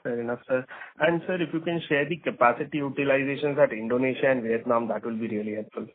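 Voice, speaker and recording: A man's voice: mid-range voice, steady tone, quick pace. A man with an Indian accent, a polite and deferential analyst putting questions to management on a conference call. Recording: narrow tinny phone line.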